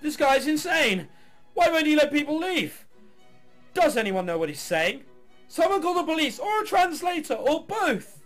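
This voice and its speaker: Timid, panicked orchestra player, acted by a man, high and swooping, stammering, visual novel character. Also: very animated, moderate pace, fairly bright tone, faint music behind, heavily acted. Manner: stammering, frantic. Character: panicked, timid orchestra musicians under threat.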